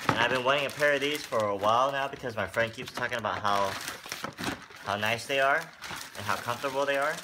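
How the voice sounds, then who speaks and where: A man's voice: medium pitch, background noise. A man talking casually through a sneaker review in a room at home, rambling a little and filling gaps with "um". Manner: relaxed and rambling, with many fillers. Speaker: sneaker vlogger. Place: room with a wooden floor, indoors.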